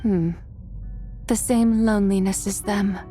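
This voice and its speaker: Woman as a slow, dreamy moon spirit. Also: mid-range voice, fairly even intonation, lightly acted. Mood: gentle, musing. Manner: dreamy, hesitant, pausing between phrases. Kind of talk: fantasy game voice acting. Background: soft background music.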